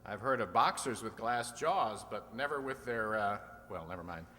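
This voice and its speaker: A man's voice: lowish voice, animated, normal speed, pushed and bright. A man playing a wisecracking, teasing comedian who hosts an old-time radio quiz show, needling guests with mock-obtuse questions. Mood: genial banter. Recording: echoey hall with long reverb.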